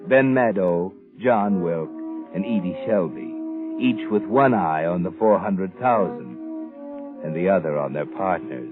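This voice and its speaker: A man's voice: low voice, animated, a little slow. An actor playing a sardonic, ominous male narrator of a 1940s radio suspense show. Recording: narrow-band 1940s radio recording with music. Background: music.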